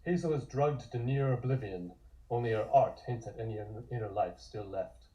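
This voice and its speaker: A man's voice: mid-range voice, normal speed, dark tone, low-quality recording. A man reading scripted scene descriptions as the narrator of a table read.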